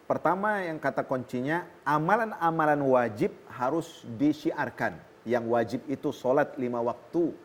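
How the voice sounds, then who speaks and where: A man with an Indonesian accent, a preacher answering a viewer's question on a TV program, explaining calmly and quoting Arabic scripture. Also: medium pitch, moderate pace. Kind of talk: religious talk on a television program. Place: television studio.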